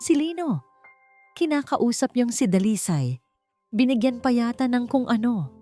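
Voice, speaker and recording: Woman as scheming Filipino male gossip, swooping pitch. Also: very animated, measured pace, mellow, soft background music. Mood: gossiping, dramatic. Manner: dramatic, boastful storytelling. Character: scheming, boastful male small-town fixer and gossip.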